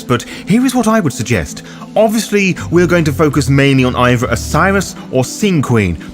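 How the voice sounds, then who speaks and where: A man hosting a scripted video guide, speaking in a theatrical, deliberate way. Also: medium pitch. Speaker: host of a roleplay guide.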